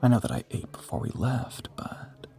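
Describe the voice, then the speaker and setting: A man voicing an ancient, suave vampire in an intimate romantic audio roleplay, teasing and possessive, with a breathy whisper and formal, condescending phrasing. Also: normal speed, dark tone, close to an everyday voice. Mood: disdainful, menacing.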